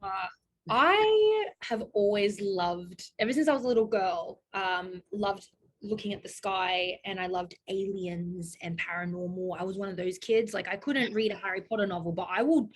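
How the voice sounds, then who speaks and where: A woman in her thirties chats as an interview guest, her voice sitting at an ordinary middle pitch. She talks loosely and warmly, laughs easily, and often trips over and restarts her sentences. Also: normal speed, slight background noise, poor audio. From Australia. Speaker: guest astrologer. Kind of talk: casual interview chat.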